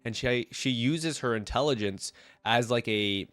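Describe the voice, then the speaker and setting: A man's voice: mid-range voice, measured pace, a bit bright, clean tone, dry recording. A man with a head cold giving casual running commentary as the host of a reaction video. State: congested with a cold.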